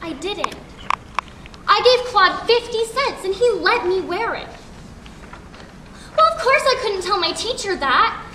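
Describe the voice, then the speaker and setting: A woman's voice: extremely high pitch, lively intonation, measured pace, pauses often, sharp tone, exaggerated voice. A young woman playing a defiant, scheming little girl who wheedles her mother, in a stage monologue. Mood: defiant, sulky. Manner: childish, wheedling. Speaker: student actor. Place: theatre workshop performance space. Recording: echoey room from far off, hissy noise.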